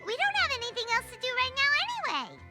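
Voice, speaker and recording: A woman voicing a tiny floating female companion in a video game, excitable and whiny, talking about herself by name. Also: very high-pitched, very fast, heavily acted. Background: game music.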